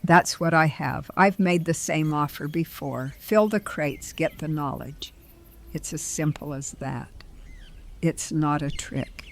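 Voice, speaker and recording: Slow, creaky-voiced composed older woman, played by an actress. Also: low voice. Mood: calm, earnest. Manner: measured, polite, articulate, unflustered. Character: composed, benevolent older woman bargaining as a stranger. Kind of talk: audio drama acting.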